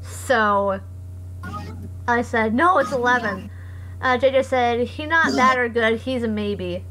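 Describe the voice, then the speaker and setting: A young woman streamer with a hoarse voice, chatting casually and reading comments aloud in a rambling, sarcastic way. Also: a little high, slow pace, sharp tone, a little hiss or hum, poor audio. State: hoarse after voice acting. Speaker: live streamer.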